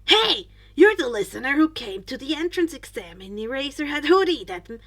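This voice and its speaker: A woman voicing an exuberant male hero schoolteacher in a fan fiction audiobook, booming and theatrical. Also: big pitch swings, normal speed, fairly bright tone, exaggerated voice. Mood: loud, cheerful.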